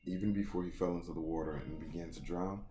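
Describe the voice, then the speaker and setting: A man hosting a casual read-along, reading a story aloud and breaking off for animated, slangy reactions and commentary. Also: deep voice, quick pace, soft background music. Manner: slangy, animated asides between narrated passages.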